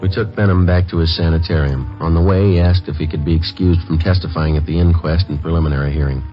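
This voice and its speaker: A dry, dogged male detective sergeant with a very low voice. He speaks and narrates in terse, deadpan lines. The sound is a dull old radio drama recording. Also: fast, faint music behind. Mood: matter-of-fact. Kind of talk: 1950s radio police drama, clipped narration.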